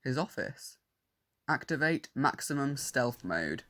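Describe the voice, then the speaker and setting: Cold war-machine cyborg male performer, stiff formal delivery at middling pitch, full of hatred for humans, audio drama. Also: big pitch swings, slow pace, pushed and bright, full character voice. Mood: menacing, stubborn. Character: cold, hostile cyborg warrior who despises humanity. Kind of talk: fan audio drama acting.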